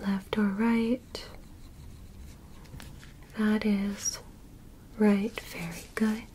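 A woman whispering as a gentle, curious alien doctor in a soft, soothing ASMR medical roleplay. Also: mid-range voice, little pitch movement, a little hiss or hum. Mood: soothing, clinical. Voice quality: breathy unvoiced whisper. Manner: soft, slow, soothing reassurance with clinical instructions. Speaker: ASMR performer.

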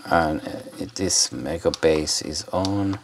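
Male gadget reviewer, deep pitch, unhurried and rambling, casual hands-on demo. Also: creaky, a little hiss or hum. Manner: rambling, hesitant. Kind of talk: casual unscripted product demonstration.

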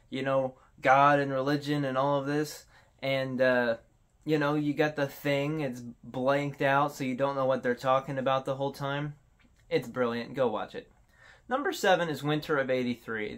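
A man's voice: mid-range voice, a bit bright. Casual man hosting a countdown video. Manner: informal, self-deprecating. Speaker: host of a horror video countdown.

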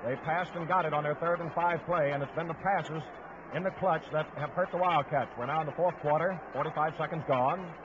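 A male sportscaster with a fairly high voice calls a football game play by play. The sound is a muffled vintage radio broadcast, with clear stadium noise behind him. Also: fairly even intonation, a little fast. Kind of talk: live radio football commentary. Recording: noisy, muffled old 1950s radio broadcast. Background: outdoor stadium crowd noise.

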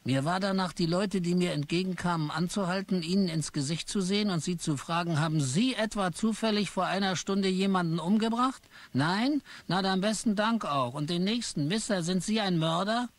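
A man's voice: high voice, moderate pace, few pauses, dry recording. A man narrating in first person as a weary office clerk in a German radio play. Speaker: narrator and lead.

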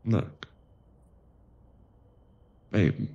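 A man playing an affectionate, teasing werewolf boyfriend in an intimate ASMR roleplay, speaking in a soft, coaxing, halting way with a husky, gravelly voice. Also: dry recording, lo-fi sound. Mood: apologetic. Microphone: close microphone.

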